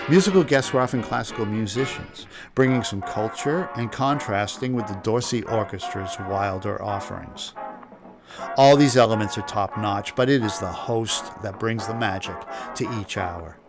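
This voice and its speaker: A man hosting and narrating from a prepared script. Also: lowish voice. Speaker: host.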